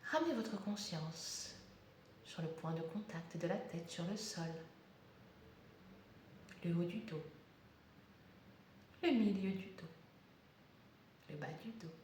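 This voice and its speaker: A woman with a slightly low voice leads a guided meditation. She speaks very slowly and calmly, leaving pauses between short, deliberate instructions. The room is very echoey, with a faint rumble of noise behind her. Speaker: yoga nidra guide.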